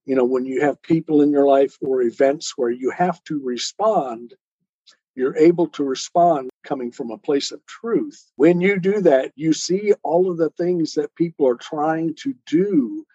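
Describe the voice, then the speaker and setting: A man's voice: a little high, measured pace, mellow, breathy edge. A man speaking as an interview guest, earnestly explaining spiritual ideas step by step in a didactic way. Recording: muffled, roomy, lo-fi sound.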